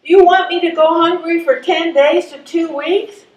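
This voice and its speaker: A woman's voice: very high-pitched, steady tone, a little slow, darkish tone. A woman playing a wry, stubborn, hungry caller of seventy-three in a live readers theatre reading. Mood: exasperated. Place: live stage reading in a room. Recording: echoey room, distant microphone.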